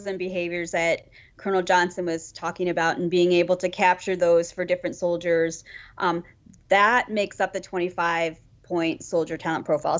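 A woman answering as an Army guest on a podcast, explaining clearly with some fillers. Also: fairly low pitch, highs cut off.

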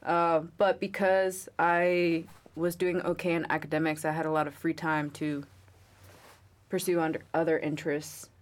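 A young woman from New York chats as a guest, wry and easygoing, with plenty of fillers. Her voice sits low for a woman. She talks about herself in a relaxed interview. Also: normal speed. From New York, USA. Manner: chatty and wry, many fillers. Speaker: guest, Marine Spanish linguist. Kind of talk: casual interview chat.

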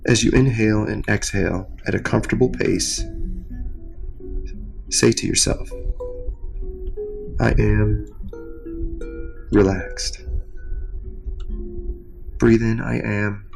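A man with a lowish voice and plenty of vocal fry guides a relaxation exercise. He speaks in a measured, soothing way, leaving long pauses, while faint music plays behind him. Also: fairly even intonation. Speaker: meditation coach, nurse practitioner. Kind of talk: guided meditation, scripted instruction.

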